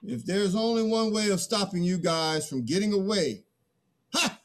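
A man's voice: high-pitched, normal speed, close to an everyday voice. A middle-aged man playing a boastful, stubborn early human in a dramatic play reading. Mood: commanding. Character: first Man, boastful, greedy, stubborn, awkward.

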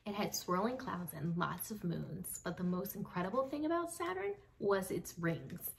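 A young woman reading a children's book aloud expressively, with dramatic pauses, addressing young listeners. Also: lowish voice, expressive, creaky. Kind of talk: expressive read-aloud storytelling. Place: small home room with bookshelves. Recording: slightly distant mic, faint background noise.

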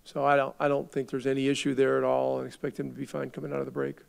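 Middle-aged man, head coach, gravelly voice, measured restarting answers, table mic in arena press room. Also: medium pitch, monotone. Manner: measured, repeats and restarts words while thinking. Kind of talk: postgame press conference answers.

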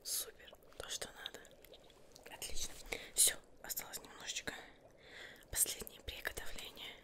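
A young woman whispers softly and breathily in an ASMR roleplay as a witch seamstress, murmuring reassuringly to her client close to the microphone. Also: normal speed. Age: young adult. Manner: soft, murmuring, reassuring, addresses the listener as a client. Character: witch seamstress fussing over fabrics for a client. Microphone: close microphone, facing camera.

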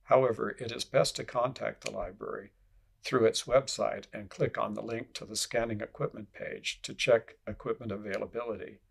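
A man reading scripted instructional narration in a measured, formal way, as an instructor would. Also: fairly low pitch, creaky, breathy edge.

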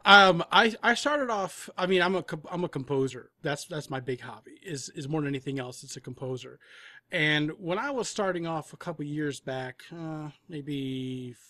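A man's voice: a little high, normal speed, pushed and bright. A man chatting casually as an interview guest, rambling a little and rephrasing as he goes. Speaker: podcast guest, composer. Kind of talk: casual chat.

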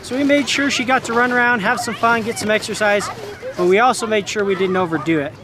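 A man's voice: high voice, fast. A man in his thirties talking casually and with animation as a dog trainer hosting an outdoor vlog, affectionate with animals. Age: 30s. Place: outdoors in a snowy park. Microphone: camera a few feet away. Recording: noisy outdoor camera audio.

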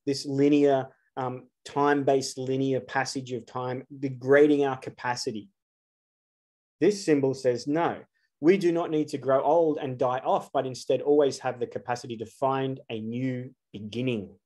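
A man's voice: mid-range voice, fairly even intonation, moderate pace, a little mellow. A man, giving an earnest solo spiritual talk to camera, asking rhetorical questions with emphasis. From Australia. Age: 40s. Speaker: astrologer.

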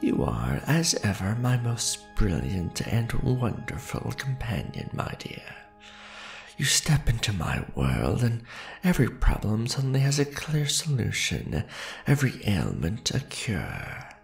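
An ancient vampire lord played by a man speaks in a heavily creaky voice that swings widely in pitch. He is formal and grandiose, a devoted yet ruthless aristocrat in an ASMR roleplay. Faint music sits behind his gravelly words. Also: big pitch swings. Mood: adoring. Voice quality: deep, gravelly. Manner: formal, grandiose, deliberate phrasing with pauses.

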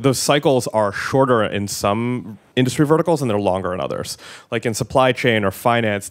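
Man, thirties, tech executive guest, low pitch, conversational, handheld mic, event room. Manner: conversational, quick asides, many filler words and restarts. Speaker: product executive and guest speaker. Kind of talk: fireside chat on stage. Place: meetup event room, indoors.

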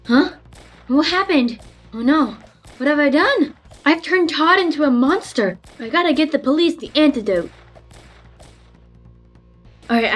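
A woman playing an anxious scientist with a botched serum in an improvised game roleplay. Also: fairly high pitch. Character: anxious scientist who made a monster serum.

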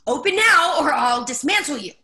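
Woman as a gravelly, forceful stressed professor. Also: a little high, brisk. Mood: angry. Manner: emphatic, impatient. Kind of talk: play reading. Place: indoors at home, on a video call.

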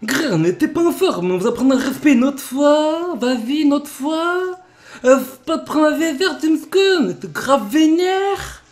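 A man's voice: very high voice, animated, unhurried, soft background music, pitched above the performer's own voice. A man voicing a brash young street-gang grunt who talks in swaggering slang and laughs through his taunts. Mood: sulky.

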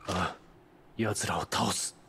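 A man's voice: sits low, steady tone, slow pace, gravelly, sharp tone, husky, heavily acted. A man playing a stoic, determined young noble warrior in a Japanese video game, speaking with restrained, terse resolve. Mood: stern.

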